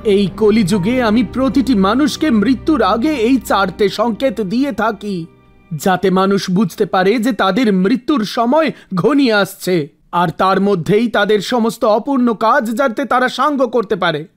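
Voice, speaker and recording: An actor voicing a stern, commanding male god of death who speaks formally and scolds with authority in an animated mythological story. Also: medium pitch, measured pace, soft background music, lightly acted. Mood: stern, rebuking.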